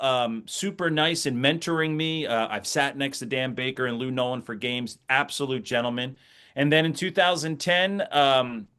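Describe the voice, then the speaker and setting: A man's voice: mid-range voice, fairly bright tone, smooth. A middle-aged American man, a sports announcer, speaking conversationally as an interview guest and reflecting through anecdotes.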